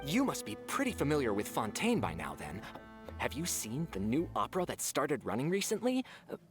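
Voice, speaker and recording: A woman's voice: a bass voice, wildly swooping pitch, a little fast, faint music behind, exaggerated voice. A woman voicing a chatty young female spy in a game.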